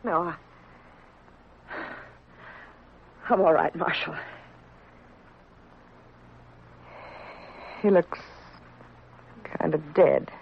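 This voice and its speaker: Hardy, unflappable frontier widow. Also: female voice, low voice, unhurried, breathy edge, exaggerated voice. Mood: shaken but dry. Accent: American. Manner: matter-of-fact, plainspoken. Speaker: actress in a radio western. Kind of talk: 1950s radio acting. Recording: muffled old radio recording.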